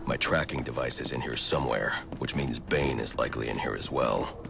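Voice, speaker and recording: A man playing a grim, brooding vigilante detective in a video game, speaking in a stern, deliberate, gravelly voice. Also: rumbling low, background noise, heavily acted. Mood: focused, analytical. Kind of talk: video game cutscene acting.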